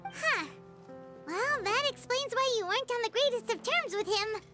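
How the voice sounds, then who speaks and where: A woman voicing a tiny, childlike, earnest and excitable floating companion in a video game, with a squeaky voice. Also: moderate pace, loud music behind the voice. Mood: surprised. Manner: refers to herself in third person.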